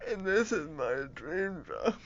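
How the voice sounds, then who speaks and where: Slow, lively-voiced young man joking. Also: sits high, no echo, dull audio. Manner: joking, self-deprecating. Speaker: radio promotions assistant, guest. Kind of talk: casual radio interview.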